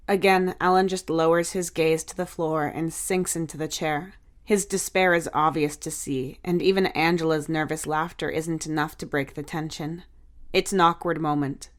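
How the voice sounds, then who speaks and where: A woman reading scripted fiction aloud as an audiobook narrator. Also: low voice.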